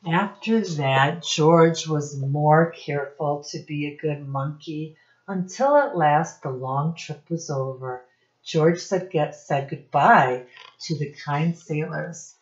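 Female storytime teacher, middle-aged, slightly low pitch, instructive read-aloud for young children, muffled home webcam recording. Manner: instructive, addresses children directly, pauses to point things out. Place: small room at home, indoors.

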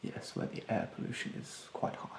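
A young man playing a rude, condescending facial therapist in an ASMR roleplay, speaking softly and breathily in a haughty, halting manner. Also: echoey room, a little hiss or hum, lightly acted. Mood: snide, dismissive. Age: young adult. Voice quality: soft, breathy, partly whispered. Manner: haughty, halting, drawn-out delivery. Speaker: ASMR performer playing a beautician. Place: bedroom, indoors.

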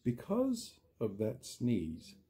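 A man reading a children's picture book aloud, speaking directly to the children like a schoolteacher, with theatrical drawn-out words and dramatic pauses. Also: medium pitch, huge pitch swings, creaky, warm tone, husky. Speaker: storyteller, reader to young children.

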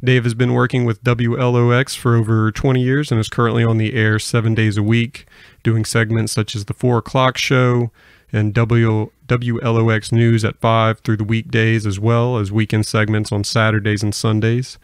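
A man's voice: lowish voice, flat delivery, normal speed, smooth, dry recording. Male podcast interviewer, conversational and rambling, level intonation, dry sound. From US Gulf Coast. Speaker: podcast host.

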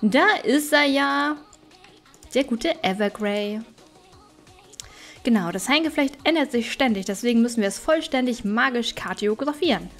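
A woman's voice: fairly high pitch, lively intonation, moderate pace. A woman gaming YouTuber giving casual, chatty let's play commentary, thinking aloud as she plays. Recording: music behind the voice.

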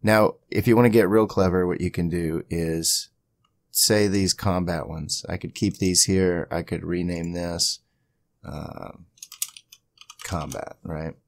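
A man with a low, gravelly voice hosts a casual software tutorial. He talks informally and rambles a bit, thinking aloud as he works through each step on screen. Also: fairly even intonation, takes it slowly, dry recording. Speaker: tutorial host.

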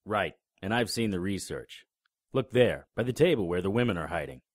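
An actor playing a wisecracking, unflappable paranormal investigator in an audio drama, with a dry, sarcastic delivery. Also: male voice, low-pitched, lively intonation, normal speed, dry recording. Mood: wry, alert. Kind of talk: audio drama dialogue and first-person noir narration.

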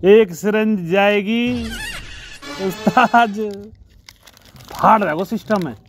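A man with a rather high, raised voice, loud and scolding as a gym owner in a comic sketch. His lines are rough and joking. Music plays clearly under him. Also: takes it slowly. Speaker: gym owner selling injections. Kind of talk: comic sketch in village dialect.

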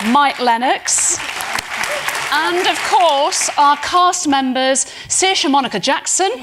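A woman hosting a live festival panel on stage, lively and teasing, with a handheld mic. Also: high-pitched, very noisy. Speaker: panel host. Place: stage before a large live audience.

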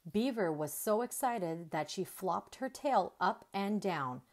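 Slow, highly animated woman reading a storybook. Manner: deliberate, animated storytelling with emphasized dialogue. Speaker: children's storybook reader. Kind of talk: expressive read-aloud narration of a children's story.

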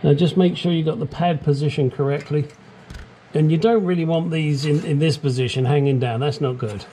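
A man's voice: on the high side, fairly even intonation, a little fast, mellow, a little hiss or hum, low-quality recording. A man talking casually as he works under a car in a home garage, explaining each step, swearing now and then and cracking crude jokes, picked up by a small action camera. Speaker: DIY car mechanic. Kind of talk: casual how-to narration while working.

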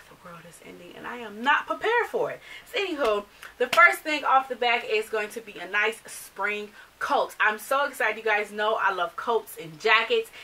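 Chatty bright-voiced woman vlogger. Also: a little high, moderate pace, recorded from a distance, slight background noise, rough recording. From United States. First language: not English. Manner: chatty, animated, gestures while talking. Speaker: fashion vlogger. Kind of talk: casual chat to camera. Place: small bedroom filled with clothes and accessories.

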